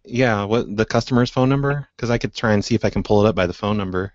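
Dull-sounding, casual low-voiced male prankster. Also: fast, dry recording. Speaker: prank call show host. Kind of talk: casual improvised prank calling.

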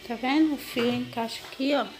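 Woman, Brazilian accent, higher voice, casual home vlog, informal explaining, clear background music. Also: fairly even intonation, brisk, poor audio. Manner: informal, showing and explaining as they go. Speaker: home vlogger. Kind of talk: casual chat to viewers. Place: home kitchen, indoors. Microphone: handheld phone or camera, held by the speaker.

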